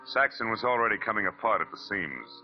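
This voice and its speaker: A hard-boiled American detective narrating a vintage radio serial. His voice is very low, with a wry, clipped, slangy delivery. The recording is dull and old, with music underneath. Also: lively intonation, fast talker. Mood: brisk, hard-boiled. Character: wry, world-weary male private detective. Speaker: lead actor and narrator of a radio detective series. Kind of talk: 1940s radio acting, hard-boiled first-person narration. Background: dramatic radio music.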